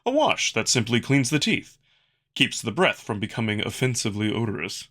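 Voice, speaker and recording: A man's voice: medium pitch, big pitch swings, moderate pace, a bit bright. A man voicing an earnest, eager male alien wolf in a visual novel, speaking emphatically with formal wording and a touch of awkwardness. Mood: eager, instructive. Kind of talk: visual novel character dub.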